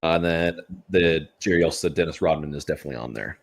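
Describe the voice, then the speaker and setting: A man co-hosting a casual sports livestream, joking around and reading out viewer comments. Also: rumbling low. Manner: quick, joking, reads out comments. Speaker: podcast co-host. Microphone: livestream mic.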